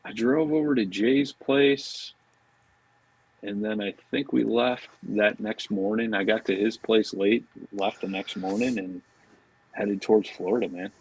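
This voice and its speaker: A man chatting casually as a podcast guest, talking in a loose, halting way. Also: lowish voice, fairly even intonation, creaky, darkish tone, breathy edge, poor audio. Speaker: podcast guest, fishing brand marketing director. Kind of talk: casual chat.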